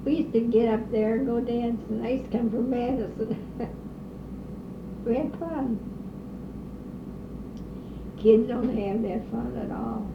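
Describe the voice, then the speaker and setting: An older woman being interviewed, slowly reminiscing about her old neighborhood with pauses, hesitations and the odd cough. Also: fairly high pitch, steady tone, creaky. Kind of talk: oral history interview. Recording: distant roomy lo-fi recording with noise.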